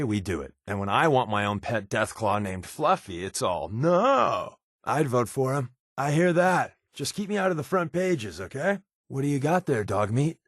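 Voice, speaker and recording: An American actor voicing a sly, sardonic undercover spy companion in a video game, tossing out wry, casual quips. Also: male voice, medium pitch, dry recording, studio quality. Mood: playful, sarcastic. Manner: wry, sarcastic, casual quipping.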